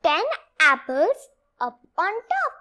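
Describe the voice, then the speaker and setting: A young girl reads a children's rhyming book aloud in a high, lively voice. She goes very slowly, phrase by phrase, with an expressive, deliberate delivery. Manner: expressive, deliberate word-by-word reading in short phrases. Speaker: read-along presenter.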